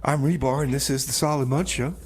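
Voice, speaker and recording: A man's voice: medium pitch, steady tone, brisk, darkish tone. A man hosting a music radio show in an informal, conversational way as its DJ. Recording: clear background noise.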